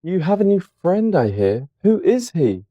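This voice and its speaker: A man performs a sullen, heavy young lad from a gothic novel. He is blunt and impatient, his pitch jumping around, in a dry and slightly dull recording. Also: sing-song, measured pace, no echo. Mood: suspicious. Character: sullen, heavy, jealous young working-class brother.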